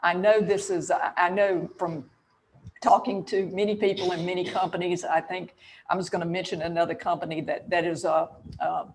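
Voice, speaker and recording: A woman's voice: lowish voice, flat delivery, normal speed, a little hiss or hum, poor audio. A woman in her sixties speaking candidly and emphatically on a panel about her career. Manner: emphatic and candid, laughs between points. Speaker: real estate agent on a panel. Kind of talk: panel talk, personal story.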